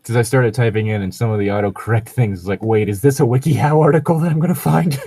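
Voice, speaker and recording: A man's voice: mid-range voice, quick pace, gravelly, mellow. A man with a rambling, sweary, giggly way of talking, hosting a comedic show of casual banter and reading aloud. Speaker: host of a bad fan fiction reading show.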